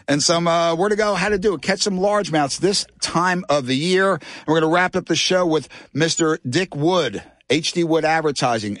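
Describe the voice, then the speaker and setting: A man with a somewhat high, nasal voice, hosting a fishing show on talk radio. He talks in an excitable, rambling way. The studio sound is dry and close. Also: no room sound.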